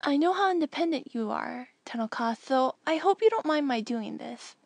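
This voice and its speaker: Female reader as thoughtful young boy in a recorded message, polite and bashful, high voice, close dry sound. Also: lively intonation, normal speed, dry recording. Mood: earnest, kindly. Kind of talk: audiobook character reading.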